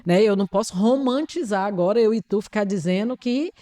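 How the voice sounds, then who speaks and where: A woman speaks as an occupational therapist on an interview. She explains earnestly and with emphasis, in a middle-pitched voice. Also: a little fast, mellow.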